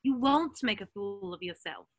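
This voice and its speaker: A woman's voice: medium pitch, animated, normal speed, lightly acted. A woman playing a bossy, know-it-all schoolgirl witch in an amateur script table read. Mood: reassuring.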